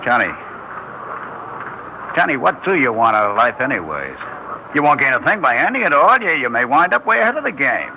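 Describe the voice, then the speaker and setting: An actor playing a streetwise police officer who narrates and coaxes in plain colloquial talk, in an old-time radio drama. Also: male voice, mid-range voice, very animated, a little fast, long pauses. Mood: urgent coaxing. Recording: muffled, noisy old radio recording.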